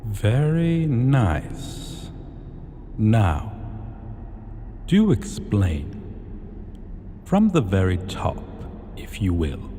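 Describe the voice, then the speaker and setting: In a long cavernous reverb, a man plays an arrogant, possessive dragon king for a fantasy roleplay. The voice is low and dark, with pompous, archaic phrasing that is drawn out very slowly. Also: huge pitch swings, a little hiss or hum, full character voice. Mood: haughty, intrigued. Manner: pompous, deliberate, archaic formal diction. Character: arrogant, possessive male dragon king, ancient hoard-keeper. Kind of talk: scripted fantasy ASMR roleplay.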